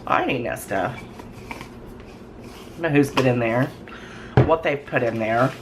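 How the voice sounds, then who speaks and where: A hoarse woman with a cold, chatting in a rambling, folksy way with lots of ums in her home kitchen. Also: a bass voice, animated, unhurried. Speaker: cooking vlogger. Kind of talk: casual chat to camera. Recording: noisy home recording.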